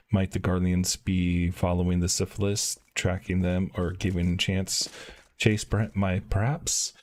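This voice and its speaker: A man's voice: deep voice, darkish tone. A man reading aloud the lines of a wary forest scout in a game, in his own everyday voice. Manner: reads game dialogue aloud in his plain voice.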